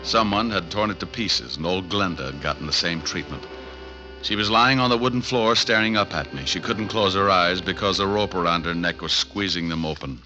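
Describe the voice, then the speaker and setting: A hard-boiled private eye, played by a man, dry and wisecracking in a lowish voice. The sound is dull and lo-fi, like an old radio recording. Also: fairly quick, music in the background, lightly acted. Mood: narrating. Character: tough wisecracking private detective. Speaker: narrator. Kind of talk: 1940s radio acting.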